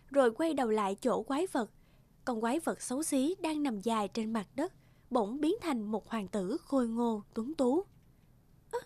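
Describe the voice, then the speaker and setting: A woman's voice: fairly high pitch, darkish tone, slightly rough, dry recording. A woman narrator reading a scripted fairy tale in a storytelling style. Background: music.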